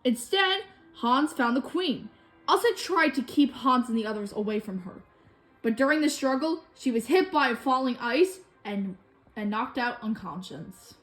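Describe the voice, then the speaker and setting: A woman's voice: a little high, normal speed, soft background music, rough recording. A woman reading a children's story aloud expressively, acting out the characters' dialogue as she goes. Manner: animated, acts out dialogue. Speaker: storybook reader.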